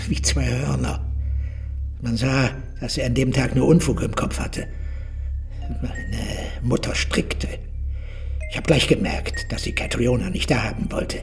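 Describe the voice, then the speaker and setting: An actor in a radio drama playing a simple, haunted elderly loner, speaking haltingly and with childlike phrasing. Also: male voice, mid-range voice, fairly even intonation, gravelly, distant mic, faint music behind. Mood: troubled, confessing. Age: older.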